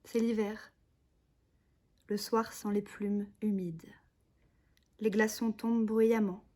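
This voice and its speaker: A woman's voice: medium pitch, flat delivery, takes it slowly, dark tone. A young woman, a conservatory student, giving a recitation of literary poetry. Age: young adult.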